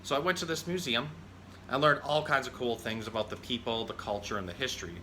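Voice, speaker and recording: A man hosts a children's animal storytime in the role of a zookeeper. His voice is bright and forceful, and he explains things conversationally, moving between casual teaching and reading aloud. Faint noise sits behind him. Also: medium pitch, quick pace.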